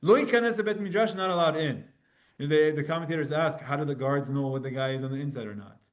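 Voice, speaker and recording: A man teaching a lively Talmud class, switching between casual English and Hebrew terms and acting out the quotes with sudden bursts of energy. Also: fast talker. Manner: animated, acts out quotes with sudden jumps in pitch and loudness. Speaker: Torah teacher.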